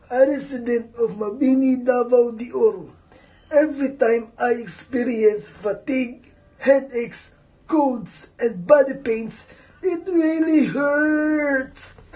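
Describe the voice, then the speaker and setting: A woman's voice: a little high, mellow, rough recording. A woman playing an earnest villager of sixty-nine with a Filipino accent, giving a scripted radio ad testimonial.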